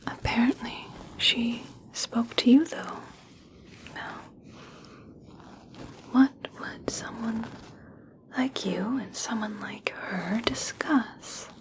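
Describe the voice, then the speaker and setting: Woman as a slow-whispering gentle elf princess. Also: on the high side, poor audio. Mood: gently curious. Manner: soft, slow, soothing whisper. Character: gentle, caring, curious young elf princess. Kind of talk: whispered ASMR personal attention roleplay.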